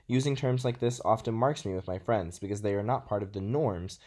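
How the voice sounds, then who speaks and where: A man's voice: lowish voice, fairly quick, a little mellow. A nineteen-year-old man from Texas with a Texas American accent, narrating a scripted presentation as a student. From Texas, United States.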